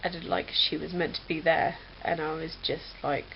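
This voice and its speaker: Young woman vlogger, rambling casual chat, gravelly low voice. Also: steady tone, normal speed. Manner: rambling, chatty, many fillers. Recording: dull lo-fi webcam audio with faint hiss.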